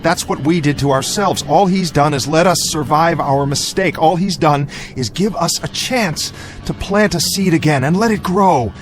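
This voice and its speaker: An actor playing an earnest male survivor who pleads with a crowd and speaks gravely in a radio drama. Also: a little high, normal speed, hardly any pauses, a little hiss or hum, close to an everyday voice. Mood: pleading. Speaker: keeper of the cave's secret. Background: music score.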